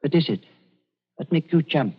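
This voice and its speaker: Husky, fussy older German doctor. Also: male voice, fairly high pitch, animated, moderate pace. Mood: soothing, evasive. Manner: hesitant, stammering, fussily polite. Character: evasive, ingratiating older German doctor on colonial island. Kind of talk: 1940s radio drama acting. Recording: muffled vintage radio recording.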